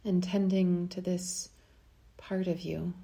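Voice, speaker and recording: A woman leading a guided meditation in a soft, soothing, unhurried way, at times breathy, with long pauses. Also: fairly low pitch, level tone.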